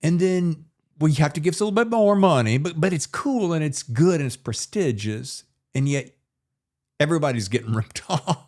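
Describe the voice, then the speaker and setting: A man's voice: on the high side, expressive, moderate pace, a little mellow. A man interviewing a guest conversationally as a podcast host.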